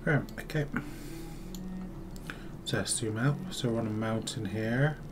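A man's voice: on the low side, unhurried. A man with a sore, slightly scratchy throat giving casual gameplay commentary, thinking aloud without hurry. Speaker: gaming video host. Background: music.

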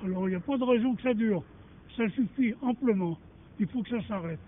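High-voiced older man, blunt and indignant. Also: fairly quick. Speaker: passer-by interviewed on the street. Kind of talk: street interview. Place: outdoors by a street car park. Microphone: handheld mic held by interviewer. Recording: phone-like sound, street noise.

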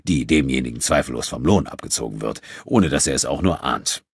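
A man's voice: very deep voice, expressive, a little fast, creaky, slightly rough, no echo, voice pushed down, full character voice. A man voicing a sardonic, sceptical warrior in an audiobook, with a dry and reproachful manner.

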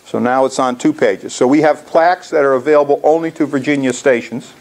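A man's voice: medium pitch, a little fast, a little mellow. An American man in his sixties explaining things casually as he presents slides to a club in a lecture hall. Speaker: contest organizer presenting to a club.

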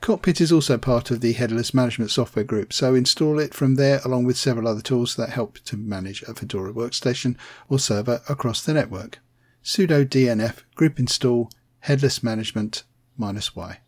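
A man reading a scripted software tutorial voice-over, plain and steady, spelling out commands slowly. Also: mid-range voice. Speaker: tech tutorial narrator.

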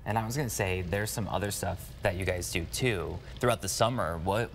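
A man in his thirties anchors a morning news interview from the studio couch. His voice sits very low, and he asks friendly questions and drops in quick remarks. He is miked close, with a faint hum behind him. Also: gravelly. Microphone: lapel.

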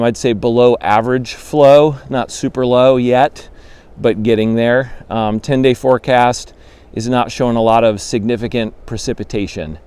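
A man in his thirties giving a casual fishing report from a raft on a windy river, talking in a relaxed, easygoing way with plenty of ums, recorded by a camera a few feet away. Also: medium pitch, clear, resonant tone, no room sound. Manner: relaxed and conversational, many ums. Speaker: fly shop guide giving a fishing report. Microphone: camera at the bow, a few feet away.

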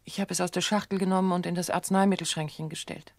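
An actress playing an anxious grown daughter under suspicion in a radio drama, speaking frankly and insistently. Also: female voice, lowish voice, steady tone, fast talker, creaky, dry recording. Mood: tense.

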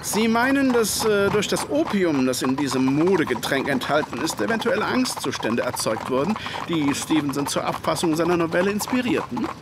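Earnest polite victorian doctor sidekick in a radio drama, pretty high voice, slightly hesitant, hooves and street noise behind. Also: male voice, big pitch swings, moderate pace, few pauses. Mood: inquiring. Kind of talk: German radio play dialogue and narration.

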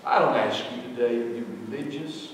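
A middle-aged man preaching a sermon, swinging between loud, emphatic lines and soft ones. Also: mid-range voice, a little fast, slight background noise. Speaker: pastor. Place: large church sanctuary. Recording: echoey hall, voice from far off.

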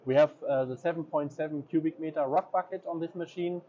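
A man's voice: on the high side, steady tone, fairly quick, warm tone. Man touring a trade fair booth. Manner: hesitant, many ums and uhs. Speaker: equipment dealer representative. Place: large indoor exhibition hall. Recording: slightly dull audio, faint hall noise.

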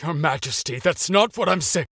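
A man's voice: fairly high pitch, expressive, moderate pace, slightly rough, no room sound, studio quality, heavily acted. A man playing a loyal older knight in a video game, speaking in a grave, restrained, formal manner. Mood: firm restraint. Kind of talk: dramatic video game voice acting. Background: loud music.

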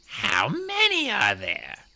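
A man voicing an anguished, aging female cat clan leader in a storybook reading, with a raspy, breathy, hissing delivery. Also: on the high side, wildly swooping pitch, sharp tone, pitched above the performer's own voice, heavily acted. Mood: shocked, fearful. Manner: slow, hissing, muttering delivery.

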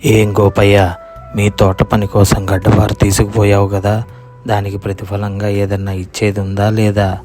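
A man voicing a grasping old village landowner who speaks deliberately and admonishingly in a moral story cartoon. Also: fairly low pitch, monotone, a little mellow, soft background music, natural voice. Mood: demanding.

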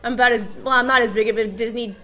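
A young woman voice actor chatting casually on a convention panel, bubbly and quick to laugh, into a table mic. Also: a little high, fairly even intonation, pushed and bright. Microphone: table mic on stand.

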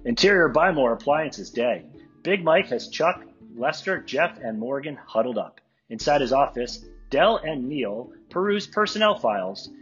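A man's voice: mid-range voice, very animated, measured pace, a bit bright, husky, highs cut off, soft background music. A man reading screen directions aloud at a live table read.

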